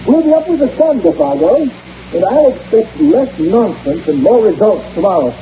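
An actor playing a brusque, pompous, scornful doctor on a hunting trip in an old radio drama. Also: male voice, sits high, very animated, normal speed, warm tone. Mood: impatient. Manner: dismissive, impatient, pompous. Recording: muffled, hissy vintage radio drama recording.

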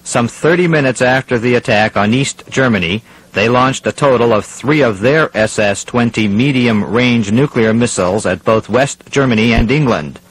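A man's voice: mid-range voice, normal speed, slight background noise, close to an everyday voice, low-quality recording. A man playing a serious radio news anchor, reading a formal scripted crisis bulletin. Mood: grave, formal.

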